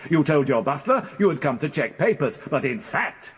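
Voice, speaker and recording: A stern male British barrister in a courtroom radio play. The voice is husky and very animated in pitch, yet formal and insistent. He presses each question deliberately. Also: exaggerated voice. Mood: accusing.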